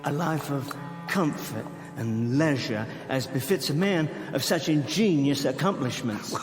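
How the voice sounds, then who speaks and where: An actor playing a pompous, coolly confident criminal professor in a radio drama, speaking with precise, pedantic formality. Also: male voice, mid-range voice, measured pace, natural voice. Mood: smug. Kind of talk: old-time style radio drama acting. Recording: long hall reverb. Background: faint dramatic music.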